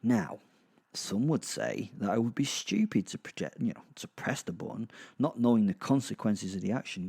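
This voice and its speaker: A British man narrating a darkly comic audio drama in the first person, with wry, conversational, halting asides. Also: on the low side, warm tone.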